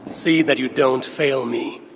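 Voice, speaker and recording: An actor playing a commanding one-eyed god-warrior in a video game, curt, imperious and dryly mocking. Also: male voice, mid-range voice, steady tone, slow pace, background noise, close to an everyday voice. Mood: stern, dry. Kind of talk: video game cutscene acting.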